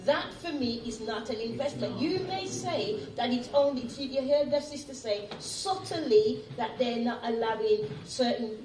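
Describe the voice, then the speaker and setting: Woman, high voice, insistent, passionate, public comment, distant echoey hall. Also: unhurried, hardly any pauses, a little mellow. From born in Britain, grew up in Jamaica. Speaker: audience member at a community town hall. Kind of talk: impassioned public comment from the floor. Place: large indoor meeting hall. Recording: echoey hall, distant mic, audience present.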